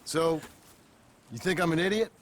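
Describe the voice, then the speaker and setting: An actor playing a gruff, weary reformed outlaw in a video game, terse and blunt. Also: male voice, on the high side, moderate pace, a little hiss or hum, natural voice. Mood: irritated. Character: gruff, weary male outlaw turned farmer. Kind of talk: dramatic video game acting.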